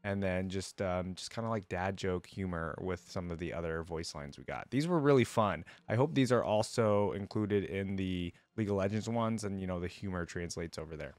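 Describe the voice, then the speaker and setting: A man's voice: sits low, moderate pace, hardly any pauses, a little mellow, dry recording. A man hosting a reaction video, giving casual commentary in slangy, informal speech. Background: faint music.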